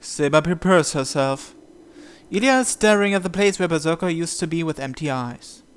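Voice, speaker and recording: A man reading a visual novel's story text aloud as narration, in a measured, deliberate way. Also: a little high, lively intonation, clear, resonant tone. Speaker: video game player reading the script aloud.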